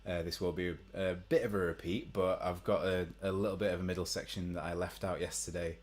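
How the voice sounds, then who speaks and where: A young man with a deep voice, chatting casually to live-stream viewers between songs, rambling with many ums. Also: fairly even intonation, fairly quick, a little mellow. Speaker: musician hosting a live stream. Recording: faint guitar behind.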